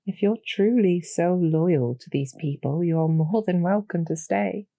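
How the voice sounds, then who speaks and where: Female performer as demon general, audio roleplay, kind, composed, formal, unhurried delivery, soft dark tone, deliberate pauses. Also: lowish voice, no echo. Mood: sympathetic, persuasive. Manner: measured, gently persuasive, formal, deliberate pauses. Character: kind, calm demon general, queen's loyal intermediary. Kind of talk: scripted roleplay audio drama.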